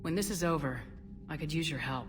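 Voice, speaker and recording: A woman's voice: sits low, expressive, a little fast, a little hiss or hum. An actress playing a resolute, direct female military commander in a video game. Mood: calm.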